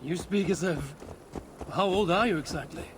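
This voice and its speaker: A woman playing a gruff, guarded Viking clan leader in a video game, low-voiced, terse and dry. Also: moderate pace, noisy background, lightly acted. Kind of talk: dramatic video game dialogue.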